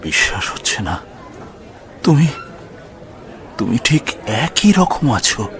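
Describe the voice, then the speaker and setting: Man playing a deep-voiced, wistful middle-aged lover. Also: big pitch swings, a bit bright, heavily acted. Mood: wistful surprise. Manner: slow, halting, repeats words tenderly. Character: wistful middle-aged man meeting his lost love. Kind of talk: Bengali romantic audio drama acting.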